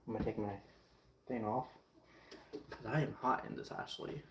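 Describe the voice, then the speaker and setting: A man's voice: on the low side, steady tone, moderate pace. A young man whose first language is Ukrainian/Russian, chatting casually with viewers as he cooks on stream, rambling between tasks. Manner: rambling and chatty, answering chat mid-task. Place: home kitchen. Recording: echoey kitchen with faint noise.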